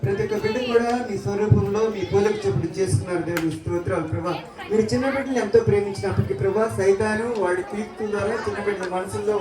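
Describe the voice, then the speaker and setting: A woman leading a children's church programme, giving short repeated instructions and prayers to the audience. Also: medium pitch. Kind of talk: live children's event hosting and prayer. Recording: echoey hall, distant mic, crowd of children.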